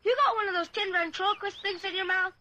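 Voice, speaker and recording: Very high-voiced eager child. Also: female voice, fairly even intonation, quick pace, sharp tone. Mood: excited, playful. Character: eager, imaginative young child, Maggie's playmate Dippy. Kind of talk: radio drama acting. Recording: noisy old radio drama recording.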